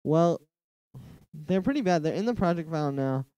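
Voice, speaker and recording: A man's voice: on the high side, lively intonation, normal speed, dark tone, clear, resonant tone, no echo, dull audio. Nasal young man chatting while producing. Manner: rambling, heavy use of "like". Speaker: music producer streaming live. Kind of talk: casual livestream chat while producing. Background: faint music from the track being produced.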